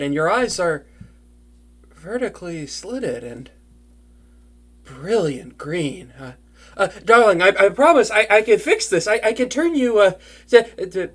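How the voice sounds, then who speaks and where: A performer voicing an earnest, flustered young wizard in an intimate fantasy audio drama, chatty and prone to anxious stammering. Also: medium pitch. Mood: worried, focused.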